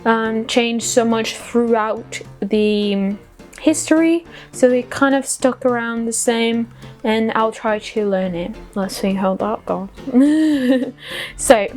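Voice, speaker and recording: A woman's voice: fairly high pitch, slow pace, darkish tone, faint music behind. A woman with a foreign accent giving a casual explainer vlog, chatty and giggly in a self-deprecating way. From outside the UK. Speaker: vlogger.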